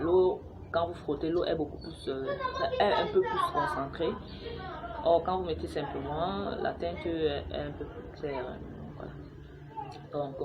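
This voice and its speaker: A young woman from Cameroon talks to her viewers in a chatty, halting way, full of fillers and restarts. Her voice sits quite low. The home recording carries clear background noise, with children heard playing nearby. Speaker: vlogger sharing a home remedy. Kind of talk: casual how-to talk to camera. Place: home, with noisy neighbours and children playing outside.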